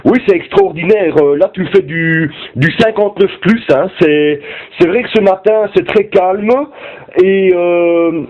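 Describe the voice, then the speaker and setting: A man with a Belgian French accent chatting casually as an amateur radio operator, with a hesitant, halting delivery. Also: high voice, lo-fi sound. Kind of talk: casual ham radio chat.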